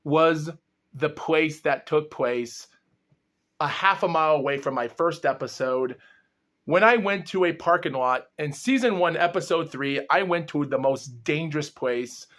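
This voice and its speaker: A young man with a groggy, slightly ill voice, hosting his own paranormal exploration vlog and rambling in long run-on sentences. He talks without pausing for breath and sometimes stumbles over his words. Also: on the high side, a bit bright. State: groggy and under the weather. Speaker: host of a paranormal exploration series. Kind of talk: rambling solo vlog recap.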